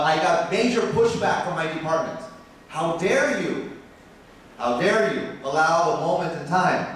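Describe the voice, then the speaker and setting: A man's voice: far from the mic, a little hiss or hum. Passionate American man in an echoey hall. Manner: passionate, rising in pitch when animated. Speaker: biology professor. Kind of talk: conference talk. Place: conference hall.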